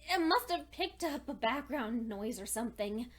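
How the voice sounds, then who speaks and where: A woman playing a frightened, lonely young female pony in a horror story reading, with an anxious, halting, emotional delivery. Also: on the high side, animated. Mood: nervous, rationalizing. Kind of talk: dramatic first-person horror fan fiction reading.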